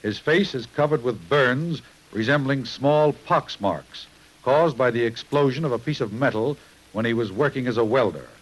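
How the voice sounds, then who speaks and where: A man's voice: medium pitch, moderate pace, poor audio. A man reading a scripted crime bulletin in a formal, emphatic 1950s radio manner on a vintage broadcast recording.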